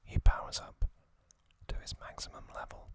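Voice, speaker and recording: A man whispering a scripted ASMR narration in short, deliberate, hushed phrases. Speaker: ASMR host.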